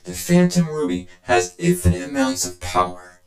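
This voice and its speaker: A man's voice: sits high, wildly swooping pitch, normal speed, creaky, exaggerated voice. A man playing a cold, arrogant villain in a game cutscene, speaking with condescending menace. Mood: boastful explaining. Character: cold, arrogant male villain, scornful and self-assured. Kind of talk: fan game cutscene voice acting. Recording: echoey voice over game music.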